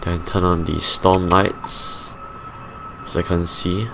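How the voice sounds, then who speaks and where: Man, Singaporean English accent, raspy just-woken voice, deep, mumbled checklist talk. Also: level tone, slow. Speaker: flight sim streamer. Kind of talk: casual play-by-play of a simulated flight. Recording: loud aircraft engine hum behind.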